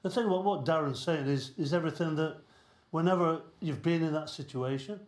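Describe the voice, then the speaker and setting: A man's voice: fairly high pitch, brisk. A man on a football panel who chuckles often and hedges his points with "I mean". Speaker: football pundit. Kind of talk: sports panel discussion. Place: TV studio. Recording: roomy studio sound.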